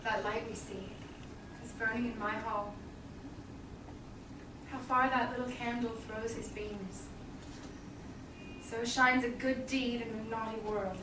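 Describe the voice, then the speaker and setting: A witty noblewoman of Belmont, played by a woman in a Shakespeare stage reading. Her voice is high and self-possessed. It is heard from far off in an echoey, noisy theatre. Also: fairly even intonation, measured pace, long pauses, lightly acted. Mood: composed, wry. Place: small theatre stage, far audience camera.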